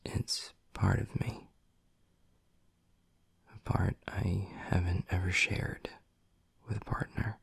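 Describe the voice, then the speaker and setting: Hushed, gravelly young werewolf boyfriend, acted by a man. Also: flat delivery, no echo, whispered, full character voice. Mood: tender, reassuring. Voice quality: low, gravelly, husky, breathy. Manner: hushed, slow, earnest, halting. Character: tender, gruff young male werewolf boyfriend. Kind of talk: intimate boyfriend audio roleplay. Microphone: close, dry microphone.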